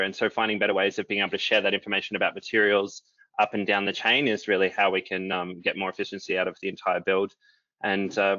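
A young man moderating a panel on a video call, relaxed and filling pauses with ums. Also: fairly low pitch, no room sound. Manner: relaxed, many "um" fillers. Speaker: moderator, company chief executive. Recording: dull lo-fi video call audio.

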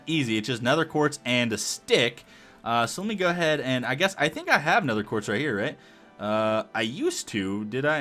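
A young man casually narrating his Minecraft play, rambling and thinking aloud with lots of fillers, his voice a little hoarse. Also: on the high side, sharp tone. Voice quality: hoarse-sounding. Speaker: Minecraft let's play host. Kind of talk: casual gameplay commentary.

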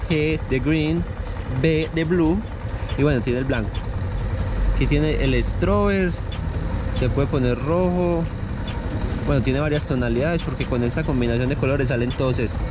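A man's voice: on the high side, background noise. A man, chatting casually to viewers as he fits parts to a motorcycle outdoors. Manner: relaxed, chatty, addresses viewers as "gente". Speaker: motovlog host. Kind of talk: casual how-to narration. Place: outdoor parking lot. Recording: music playing behind.

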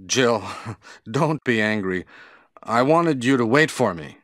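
A man's voice: mid-range voice, lively intonation, slow pace, a bit bright, no echo, clean studio sound. An actor playing a blustery, sheepish male team-mate in a stilted nineties video game.

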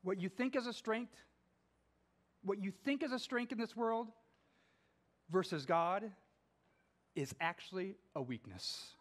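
A middle-aged man preaching a sermon as pastor in a small church, pacing while he reads scripture aloud and swings from emphatic to hushed. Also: high voice, lively intonation, a little slow, pauses often. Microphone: far camera.

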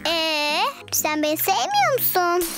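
A woman voicing a sweet, affectionate, playful little girl in a children's cartoon, with gentle, cooing delivery. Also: very high voice, very animated, unhurried, loud music behind the voice, full character voice.

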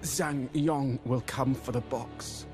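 An actor playing a defeated villain in a video game, giving grim warnings in an ominous, drawn-out way. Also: male voice, medium pitch, steady tone, over music, lightly acted. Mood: dying warning. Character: defeated male villain, slow, grimly warning. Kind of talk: dramatic game cutscene acting.

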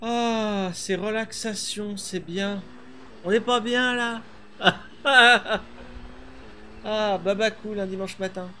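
Relaxed chatty man streaming a racing game. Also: high voice, takes it slowly, slight background noise. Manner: relaxed, chatty, thinks aloud with many fillers. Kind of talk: live gameplay chat.

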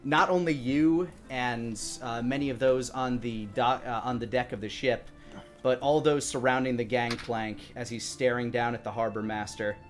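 A man in his thirties improvising tabletop game narration as game master, in a halting, searching way. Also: mid-range voice, steady tone. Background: faint music.

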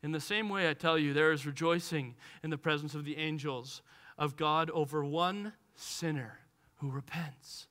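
A man in his thirties preaching a sermon on a large church stage, animated and acting out the voices of people in the text, heard through a headset mic. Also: on the high side, moderate pace. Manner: animated, gestures widely and acts out voices from the text. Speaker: pastor.

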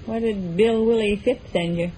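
An American actress playing a thrifty, skeptical middle-aged wife, folksy and persistent, in a 1940s radio comedy. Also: female voice, mid-range voice, moderate pace, mellow, close to an everyday voice. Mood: doubting, persistent. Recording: noisy old 1940s radio recording.

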